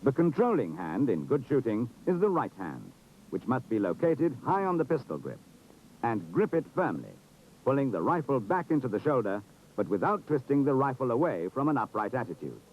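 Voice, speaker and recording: A man's voice: medium pitch, sing-song, moderate pace, slight background noise. A British man giving formal, precise, authoritative scripted narration for an instructional film. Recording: dull, muffled 1970s film soundtrack.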